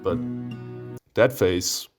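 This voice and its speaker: A man reading a visual novel aloud, expressive and playful, breaking into casual, profane asides. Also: extremely slow. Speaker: let's player. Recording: music behind the voice.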